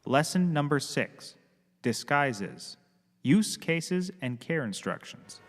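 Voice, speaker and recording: A man's voice: on the high side, expressive, takes it slowly. A man playing a cocky, clueless small-time private eye in a scripted comedy, glib and rambling. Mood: breezy lecturing. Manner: glib, rambling, self-assured.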